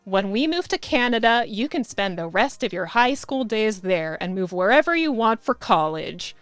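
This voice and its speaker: A woman's voice: medium pitch, big pitch swings, moderate pace, fairly bright tone, smooth, dry recording, faint music behind. A woman voicing an exasperated, dismissive mother, firm and unbothered, in a comedic fan fiction reading.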